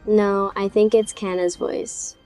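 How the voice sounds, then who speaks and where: A woman's voice: mid-range voice, little pitch movement, slow, dark tone, rough recording. A woman chatting casually with friends in a gaming voice chat. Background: music.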